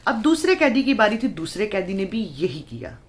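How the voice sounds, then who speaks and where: A woman telling a horror story in a dramatic, suspenseful way, phrasing it deliberately with pauses. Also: medium pitch, very animated. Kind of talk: dramatic horror story narration in Urdu-Hindi.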